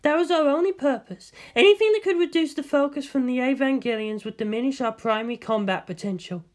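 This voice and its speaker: A woman's voice: high-pitched, fairly quick, a little mellow, pitched above the performer's own voice, close to an everyday voice. A woman voicing a tense female scientist with a terse, technical manner in a story reading. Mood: dull explaining.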